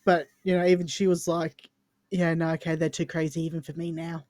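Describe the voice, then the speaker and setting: A woman with an Australian accent chats casually as a podcast co-host. Her voice sits a little low, with a dry, echo-free sound. She tells stories jokingly, exaggerating and voicing other people's lines. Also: flat delivery, fairly quick, darkish tone. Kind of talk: casual chat, anecdotes.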